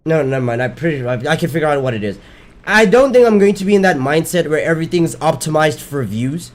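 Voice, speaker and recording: A man streams a game and chats at the same time, his speech a little higher than most men's. His voice is worn from daily streaming. He rambles, doubles back on his words and swears casually between moves. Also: lively intonation, fast. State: losing his voice. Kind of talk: casual chat while gaming.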